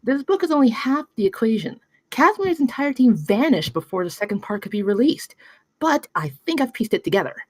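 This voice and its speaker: A woman reading the part of a brainy, cocky young girl inventor, confident and deadpan, at a screenplay table read. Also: fairly high pitch, fairly quick, no room sound. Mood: eager, proud.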